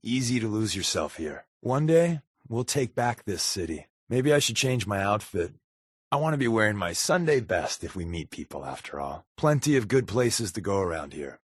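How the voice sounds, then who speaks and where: An American man playing a wisecracking, sly undercover agent in a video game, talking in casual quips with a deadpan, sardonic manner. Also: lowish voice, breathy edge, no echo, clean studio sound. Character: wisecracking, sly adult male undercover agent, habitual fibber. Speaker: companion character in a video game.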